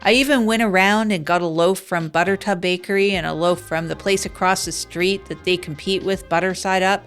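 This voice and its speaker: A woman narrating as a wry, down-and-out woman in an improvised comedy audio drama, rambling deadpan with self-mocking asides. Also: lowish voice, brisk, clean tone, faint music behind. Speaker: narrator of the show. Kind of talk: improvised comic first-person narration.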